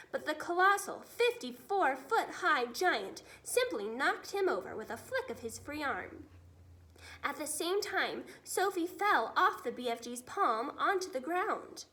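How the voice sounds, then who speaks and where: High, very animated woman reading a story. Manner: dramatic, deliberate emphasis. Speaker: teacher reading a children's book aloud. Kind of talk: expressive storybook narration.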